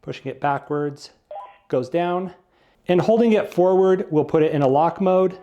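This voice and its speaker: A man's voice: on the high side, normal speed, warm tone, dull audio. A man giving a casual product review, explaining things plainly, step by step.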